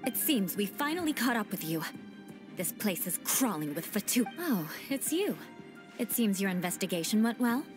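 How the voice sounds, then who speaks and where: A woman's voice: medium pitch, very animated, moderate pace, natural voice. An actress playing a cool, aloof young knight woman in a video game, dry and unbothered. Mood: dismissive. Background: game music.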